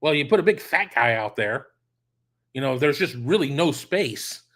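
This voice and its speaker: Hoarse, blunt man hosting sports talk. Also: medium pitch. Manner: blunt, emphatic, repeats phrases for emphasis. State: hoarse, losing his voice. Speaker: sports podcast host. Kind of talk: solo opinion talk answering listener questions.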